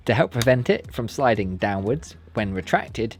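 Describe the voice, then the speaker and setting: A man's voice: mid-range voice, sing-song, moderate pace, soft background music. A man giving scripted, step-by-step DIY tutorial narration in a careful, politely instructional manner. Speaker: presenter of a DIY build tutorial.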